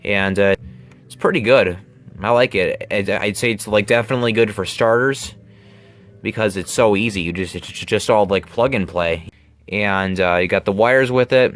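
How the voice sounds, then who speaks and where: A man giving a casual hands-on demonstration in a halting way, often repeating phrases, recorded at home on a handheld camera. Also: lowish voice, fairly bright tone. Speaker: video maker showing a gadget. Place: carpeted room at home. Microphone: handheld camera mic.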